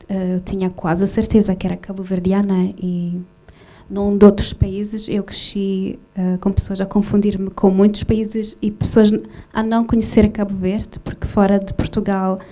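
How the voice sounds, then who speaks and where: A woman who grew up in Switzerland talks about her film at a festival session. She speaks slowly and haltingly, with many fillers and restarts. Her voice is mellow and fairly flat. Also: medium pitch. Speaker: film director. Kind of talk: festival Q&A talk.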